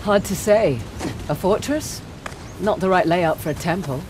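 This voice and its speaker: A woman acting as a composed, knowledgeable treasure hunter in a video game, with a measured, dry manner. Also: on the low side, close to an everyday voice. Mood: thoughtful, knowing. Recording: clear outdoor ambience and vehicle noise.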